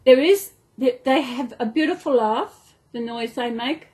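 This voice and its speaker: A woman's voice: high-pitched, measured pace, darkish tone. A woman with an Australian accent chatting casually and affectionately in a rambling home vlog, talking to viewers and to her dolls. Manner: rambling, affectionate, talks to dolls and toys as if alive. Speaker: doll collector hosting a storytime video channel. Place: indoors at home.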